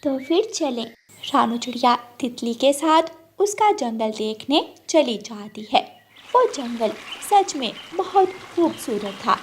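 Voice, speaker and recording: A woman's voice: a little high, sing-song, measured pace, slight background noise. A woman narrating a scripted children's story.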